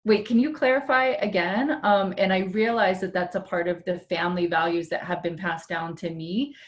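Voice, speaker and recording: A woman from Hawaii moderating a panel webinar over a video call, speaking in a measured way with frequent pauses. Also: on the low side, steady tone, a little hiss or hum.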